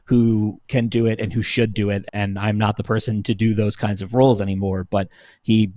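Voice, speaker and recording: A man in his thirties speaking as a panelist in a casual chat, recounting things in an informal, halting, conversational way. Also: fairly low pitch, flat delivery, mellow, no echo.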